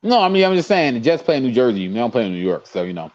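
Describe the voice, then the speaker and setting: Podcast co-host, male, mild Cleveland accent, very animated intonation, casual banter, muffled lo-fi audio. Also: mid-range voice, rapid-fire, crowd noise. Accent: light Cleveland. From Cleveland, USA. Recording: dull, lo-fi microphone sound.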